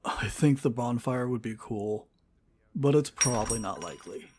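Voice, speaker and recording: Man as a skeptical, mid-pitched young guy. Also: moderate pace, background noise, lightly acted. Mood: matter-of-fact. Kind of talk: audio drama acting, casual chat.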